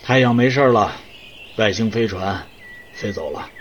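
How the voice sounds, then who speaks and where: A man's voice: on the low side, expressive, normal speed, close to an everyday voice. A man playing an easygoing, reassuring colleague who talks casually and teasingly in an audio drama. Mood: relieved, casual. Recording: slightly dull, noisy, birdsong behind.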